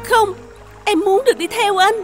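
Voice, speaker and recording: An actress playing a devoted young runaway daughter in a cartoon folk tale, speaking with pleading emotion. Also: female voice, very high voice, normal speed, over music. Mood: heartbroken, resolute.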